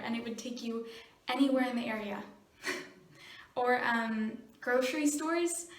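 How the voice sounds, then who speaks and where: Echoey, high-voiced teenage American daughter, acted by a young woman. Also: lightly acted. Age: teenager or young adult. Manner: halting, earnest, nervous laughs. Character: curious, frustrated teenage daughter pleading with her mother. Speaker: student actor. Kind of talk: dramatic video monologue. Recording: roomy echo, distant microphone.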